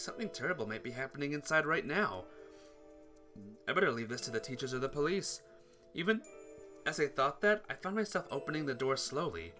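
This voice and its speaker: A man with a bright, lively voice talks casually over game music as he plays. He switches between offhand commentary and reading story text aloud, with his pitch moving around a lot. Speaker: streamer playing a visual novel.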